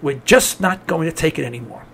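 A man's voice: a little high, a little fast, gravelly, fairly bright tone, a little hiss or hum. A man with a New York accent hosting a talk program, delivering a cynical political rant. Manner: cynical, ranting. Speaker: radio talk host.

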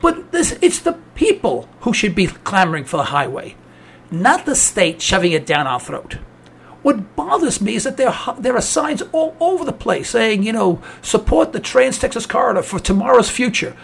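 A man's voice: sits high, very animated, moderate pace, gravelly, fairly bright tone, a little hiss or hum. A man with a New York accent hosting a talk program, delivering a cynical political rant. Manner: cynical, ranting. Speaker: radio talk host.

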